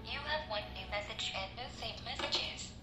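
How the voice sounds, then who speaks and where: A woman's voice: a little high, moderate pace, music in the background, close to an everyday voice. A woman voicing an impersonal automated voicemail system with formulaic phone announcements. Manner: impersonal, formulaic, even-tempered.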